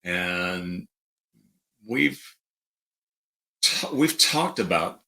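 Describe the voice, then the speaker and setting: A middle-aged man speaks as a podcast co-host in a casual, reflective way. His voice is low and creaky, with pitch that moves around a lot. He halts often as he talks. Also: lively intonation, extremely slow, sharp tone. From Dallas, Texas, United States. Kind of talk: casual conversation.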